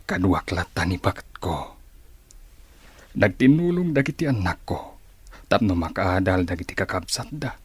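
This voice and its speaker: Raspy, low-voiced older family man. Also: lively intonation, normal speed, pauses often, heavily acted. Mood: frank, reassuring. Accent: Filipino. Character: plain-living older family man, frank and caring. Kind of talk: radio drama acting.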